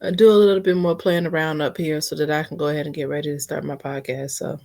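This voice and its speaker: A woman's voice: low-pitched, flat delivery, fast, dark tone. A woman chatting casually in a rambling, unscripted vlog, sounding a little congested, on a built-in computer mic. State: mildly congested, sniffly. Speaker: vlogger practicing for a podcast. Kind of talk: casual personal vlog chat. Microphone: built-in computer mic, no external microphone.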